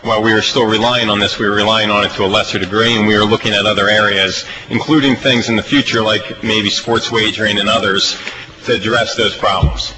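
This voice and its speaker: Low male voice, American, committee chairman, halting but emphatic, noisy recording. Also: fairly even intonation, sharp tone. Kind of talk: extemporaneous remarks at a legislative budget hearing. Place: legislative committee hearing room. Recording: noisy legislative hearing audio.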